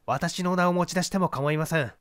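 A man's voice: a little high, fairly quick, a bit bright, dry recording, clean studio sound, lightly acted. A man voicing a confident police detective giving precise, polite, emphatic instructions in an audiobook mystery. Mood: commanding, polite. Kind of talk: literary audiobook character dialogue.

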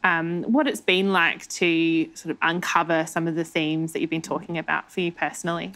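A woman's voice: low voice, fairly even intonation, normal speed, fairly bright tone. A young adult woman interviewing an artist in a conversational way. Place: indoor wood-panelled art studio.

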